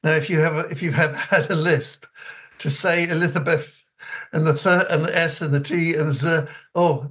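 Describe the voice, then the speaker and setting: A man's voice: on the high side, bright, forceful tone. An older man from New Zealand with an elocution-trained English accent, hosting a radio talk show and reading listener letters, chatty and often laughing at himself. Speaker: radio host.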